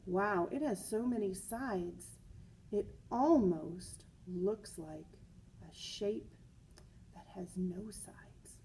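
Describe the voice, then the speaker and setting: A woman's voice: medium pitch, very slow, a little hiss or hum. A woman reading a children's picture book aloud as a teacher, expressively, stressing words and adding chatty asides. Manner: expressive, with emphatic repeated words.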